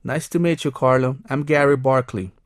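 A man playing a polite twenty-two-year-old engineering student who spells words out clearly. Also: medium pitch, moderate pace, dry recording, studio quality, natural voice.

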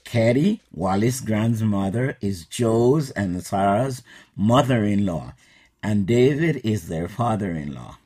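A man, teaching an English lesson in a slow, patient scripted style. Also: lowish voice, expressive, dark tone, slightly rough. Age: 60s.

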